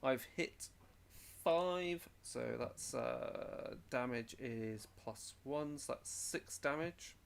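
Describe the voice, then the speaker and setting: A man's voice: mid-range voice, drawn-out words, vocal fry, smooth. A man playing a tabletop roleplaying game, chatting casually and thinking aloud through his moves.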